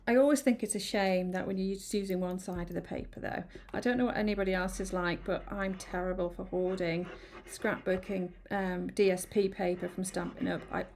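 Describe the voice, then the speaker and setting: A woman chatting through a card-making tutorial, a little croaky from hay fever, rambling and apologetic with many ums. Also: fairly low pitch, steady tone, fairly quick, hardly any pauses, darkish tone, a little hiss or hum. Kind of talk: casual craft tutorial. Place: home craft room.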